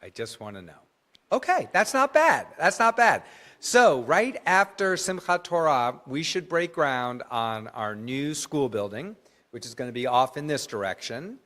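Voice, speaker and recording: A man at mid pitch addresses a congregation as its president. He runs through announcements in a chatty, upbeat way, with jokes along the way. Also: very animated, unhurried, bright, forceful tone. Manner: chatty, enthusiastic, joking asides. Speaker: synagogue president giving announcements. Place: large synagogue sanctuary.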